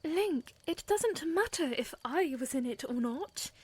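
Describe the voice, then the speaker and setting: Gentle young princess , played by a woman, high and unhurried, earnest, for fantasy audio drama. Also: animated, no room sound. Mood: insistent. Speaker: voice actor.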